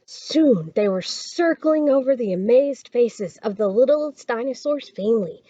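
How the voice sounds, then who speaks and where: High-voiced, animated woman reading a story. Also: measured pace, no room sound, lo-fi sound. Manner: expressive, dramatic storytelling. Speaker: library storytime reader. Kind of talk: scripted children's story read-aloud.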